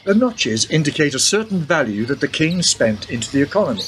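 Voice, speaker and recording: A man's voice: a little high, big pitch swings, fairly quick, a bit bright. An actor playing a knowing guide who patiently explains money to a young woman, in a radio play. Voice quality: patient, lecturing. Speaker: guide character. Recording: background noise.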